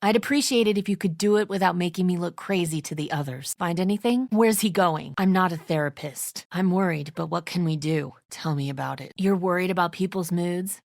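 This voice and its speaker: A woman's voice: fairly low pitch, a little fast, gravelly, hardly any pauses, a little mellow, no echo, studio quality. A woman voicing a tense, suspicious and defensive leader of zombie apocalypse survivors in a video game, speaking bluntly with biting sarcasm. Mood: suspicious, curt.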